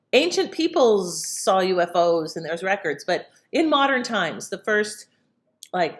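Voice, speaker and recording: Give me a chatty woman host with a fairly low voice, casual and rambling. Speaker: podcast host. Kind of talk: casual chat introduction.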